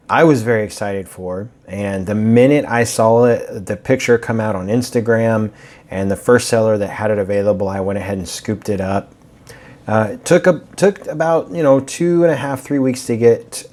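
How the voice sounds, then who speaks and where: A man giving a casual, rambling review of a fountain pen, his voice a bit raspy from allergies. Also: fairly low pitch, a little mellow. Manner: chatty, rambling, with fillers and restarts.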